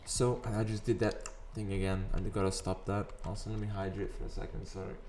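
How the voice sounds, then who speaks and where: A man with a raspy morning voice, chatting casually over gameplay and rambling into sidetracks. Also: lowish voice, level tone, fairly quick, mellow, slight background noise. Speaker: gaming video host. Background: faint background music.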